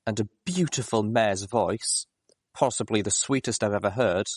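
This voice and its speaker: A man reading a story aloud as a scripted, expressive narration. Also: lowish voice, normal speed, no echo, clean studio sound. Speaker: audiobook reader.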